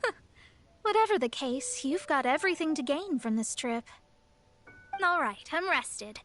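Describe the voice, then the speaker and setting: A haughty young astrologer. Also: female voice, sits high, animated, unhurried, a bit bright, a little hiss or hum. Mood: lofty. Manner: proud, grand and lecturing. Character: proud young female astrologer, haughty and mystical. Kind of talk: game voice acting. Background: game music.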